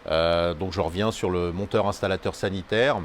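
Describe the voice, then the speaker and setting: A male vocational teacher speaks in a lowish voice, stopping often on filler sounds. Faint room ambience sits behind him. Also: fairly even intonation, moderate pace, slight background noise. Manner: hesitant, many fillers. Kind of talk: school video interview.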